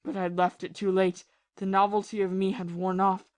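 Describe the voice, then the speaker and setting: A woman acts as a ruined gentleman and former army captain in a period audio drama. He confesses his lovesick misery with bitterness and passion, halting and repeating himself. The pitch is moderate for the performer and the sound is very dry. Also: little pitch movement, no echo, lightly acted. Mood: bitter, despairing.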